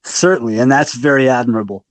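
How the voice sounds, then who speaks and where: A man's voice: mid-range voice, fast talker, dry recording, low-quality recording. A man hosting a podcast interview who chimes in often with enthusiastic agreement and retells his own memories. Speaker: podcast host, musician.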